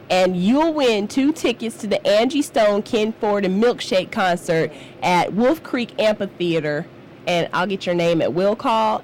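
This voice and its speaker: A woman's voice: mid-range voice, slight background noise, rough recording. A woman hosting a live radio talk show, chatty and upbeat as she promotes events, often laughing. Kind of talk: casual live radio chat.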